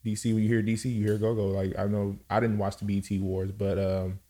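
A man of about thirty-one from Cameroon talks as a podcast guest. His voice is low and soft-edged, with a relaxed tone. He chats casually, often pausing to hunt for the next word. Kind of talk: casual chat.

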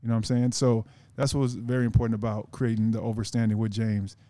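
A man's voice: fairly low pitch, level tone, fast talker, mellow, no echo. A man guest on a casual podcast, talking earnestly in long rambling sentences with many restarts.

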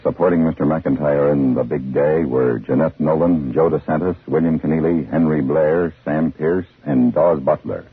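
A man announcing formally in 1950s radio style, measured and dramatic. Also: very deep voice, slightly rough, dry recording. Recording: narrow-band 1950s radio recording. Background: music.

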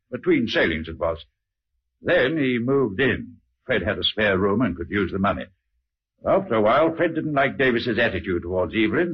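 Insinuating, deferential witness, medium-pitched husky voice with restless inflection, vintage radio play. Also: male voice. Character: hesitant, insinuating brother of a murdered man. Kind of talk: old-time radio acting. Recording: muffled old radio recording.

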